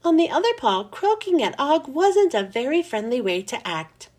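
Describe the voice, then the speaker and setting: A woman reading a children's chapter book aloud in a lively, expressive storybook manner. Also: high-pitched, normal speed.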